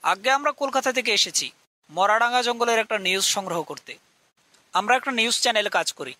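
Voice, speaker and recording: A man's voice: high voice, expressive, fast, sharp tone, no room sound, full character voice. A man voicing an eager young ghost-hunting TV reporter in a scripted cartoon. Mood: keen, formal. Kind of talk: scripted cartoon dialogue and show presenting.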